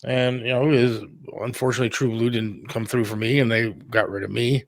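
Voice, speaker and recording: A man's voice: mid-range voice, little pitch movement, fairly quick, no echo. A middle-aged man talking candidly and casually on a talk show, plain-spoken and a little defensive. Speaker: radio producer and talk show host.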